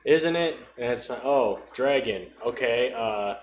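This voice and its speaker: A man's voice: medium pitch, measured pace. A man chatting casually about tabletop games, adding dry, joking remarks. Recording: roomy echo, faint background noise.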